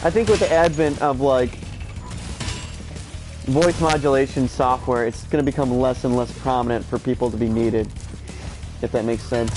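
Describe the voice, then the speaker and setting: A man's voice: mid-range voice, fairly even intonation, normal speed, pauses often. Brief: thirties guy streaming a game, stuffy, rambling, swearing, game music faintly behind. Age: 30s. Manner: rambling, profane, opinionated. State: stuffy, short on sleep. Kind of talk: casual gameplay chatter.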